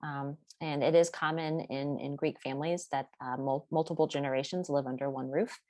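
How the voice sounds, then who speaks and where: A woman presenting a class project in a steady, explanatory way, partly read from notes. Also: deep voice, normal speed, no echo, clean studio sound. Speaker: student presenter.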